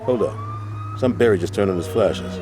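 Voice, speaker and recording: An actor playing a self-assured, fatherly gang leader in a video game, talking in measured, persuasive street slang. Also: fairly low pitch, music in the background, natural voice. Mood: proud, reassuring. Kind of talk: video game cutscene acting.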